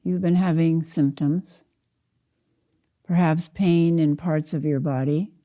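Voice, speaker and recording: An older woman guides a meditation in a low-pitched voice with a very soft, dark tone. She speaks deliberately, in short phrases, and leaves room between them as a teacher would. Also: steady tone. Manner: measured, deliberate, spoken in short phrases with pauses. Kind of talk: slow guided meditation narration.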